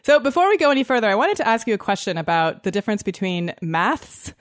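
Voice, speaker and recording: A woman speaks as the host of an interview show. Her pitch is average for a woman, and her delivery is conversational. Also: very animated, fast.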